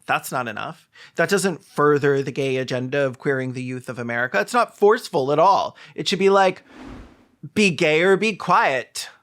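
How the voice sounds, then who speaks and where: A comedic YouTube commentator with a middle-pitched voice. The delivery is mocking and sarcastic, with exaggerated reactions and crude asides. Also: animated, normal speed, fairly bright tone.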